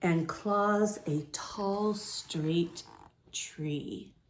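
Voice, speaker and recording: A woman reading a picture book aloud to young children as a storytime host, with deliberate, drawn-out phrasing. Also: lowish voice, a little hiss or hum.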